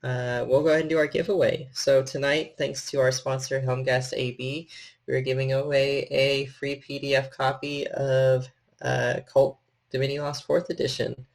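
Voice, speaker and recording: A man's voice: mid-range voice, level tone, moderate pace, creaky. A man narrating a tabletop horror game as its storyteller and host.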